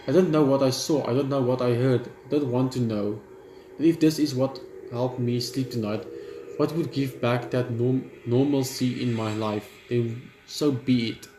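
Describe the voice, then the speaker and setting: A man gaming, reading a horror visual novel's narration aloud in a steady read, dropping blunt side remarks. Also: medium pitch, normal speed, echoey room, faint music behind, poor audio. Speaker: gamer.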